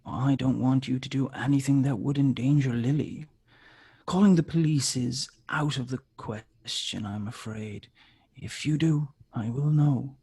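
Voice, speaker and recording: Man performing a sinister kidnapper, polite but taunting, gravelly fry, unhurried, screenplay drama. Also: medium pitch, warm tone. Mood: sickly sweet threat. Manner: slow, taunting, mock-courteous. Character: menacing supernatural male kidnapper, sinisterly polite. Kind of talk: screenplay table read.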